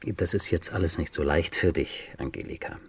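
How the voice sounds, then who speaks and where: A man acting a patient, gentle police detective in a crime radio play, questioning politely and in a measured way. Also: low-pitched, husky, no room sound, full character voice. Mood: gentle, probing.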